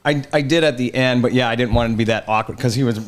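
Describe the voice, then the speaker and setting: A man, co-hosting a casual podcast, excitable and enthusiastic. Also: mid-range voice, very fast. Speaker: podcast co-host. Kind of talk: casual banter.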